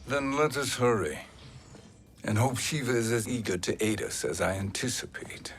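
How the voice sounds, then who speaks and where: An actor playing a sly older sorcerer in a fantasy video game, speaking in a measured, silky and insinuating way. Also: male voice, mid-range voice, lively intonation, fairly bright tone, faint music behind. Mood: smooth, urging. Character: sly, smooth-tongued older male sorcerer, scheming. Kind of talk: cinematic fantasy game acting. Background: cinematic score.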